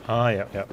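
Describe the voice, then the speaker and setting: A man chatting casually as he streams a game, rambling along in a deadpan way. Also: lowish voice, highs cut off. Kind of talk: casual live stream chat with rambling commentary. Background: game music.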